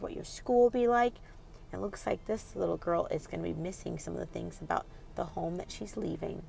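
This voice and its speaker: A woman's voice: on the low side, vocal fry, slight background noise. A woman hosting a children's read-aloud, reading expressively and putting questions directly to young listeners in an instructive way.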